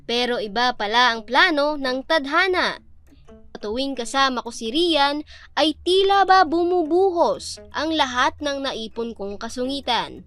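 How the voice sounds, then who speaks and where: A woman's voice: high voice, fairly bright tone. A woman playing a young female student telling her love story in an earnest, poetic radio drama narration. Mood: wistful.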